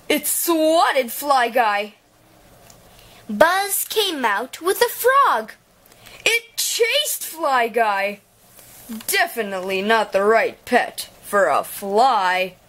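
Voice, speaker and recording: A woman reading a children's picture book aloud in an animated storytelling style. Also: high voice, drawn-out words.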